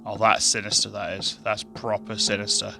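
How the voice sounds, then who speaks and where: A man with a very deep, bassy voice chats through a let's-play session, chatty and self-deprecating. He talks into a gaming headset's boom mic from a home setup. Game music plays clearly underneath him. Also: monotone, normal speed. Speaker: gaming channel host. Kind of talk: casual let's play commentary. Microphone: gaming headset with adjustable boom mic. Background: game music and sounds.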